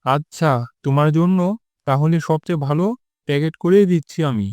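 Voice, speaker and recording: A man's voice: fairly high pitch, fairly quick, clear, resonant tone, no echo, clean studio sound, close to an everyday voice. A man voicing a kindly, friendly shopkeeper in a scripted cartoon. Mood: impressed, kindly. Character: kindly shopkeeper, a friendly adult uncle figure.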